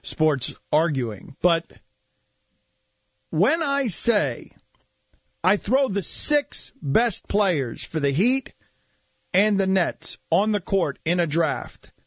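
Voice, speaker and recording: Male sports radio host, quick joking banter, high voice, dull dry studio mic. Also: big pitch swings, dry recording.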